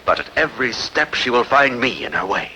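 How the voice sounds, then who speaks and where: A man's voice: mid-range voice, big pitch swings, a little fast, sharp tone, slight background noise, rough recording. A man playing a scheming, determined rival of a queen in a dubbed epic film, menacing and dramatic. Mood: menacing, resolute.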